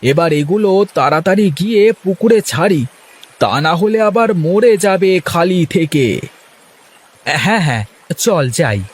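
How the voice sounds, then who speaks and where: Man acting a high, husky village fisherman. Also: lively intonation, normal speed. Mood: eager. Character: poor village fisherman, plain-spoken and excitable. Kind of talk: Bengali moral-story cartoon dubbing.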